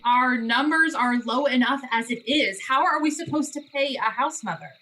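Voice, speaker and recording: A woman's voice: sits high, moderate pace, pushed and bright. A woman playing a self-deprecating young sorority treasurer in a dramatic reading, with a dry, wry manner. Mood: worried.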